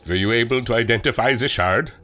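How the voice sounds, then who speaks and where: An actor playing a grave, worried mentor in a radio drama, speaking portentously. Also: male voice, medium pitch, quick pace, bright, forceful tone, close to an everyday voice. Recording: faint noise behind.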